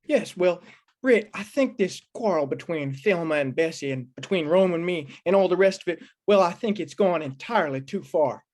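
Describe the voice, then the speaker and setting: A man's voice: high-pitched, animated, normal speed, a little mellow. A man playing a fretful, henpecked small-town husband in an old-time western radio drama, hesitant, earnest and complaining.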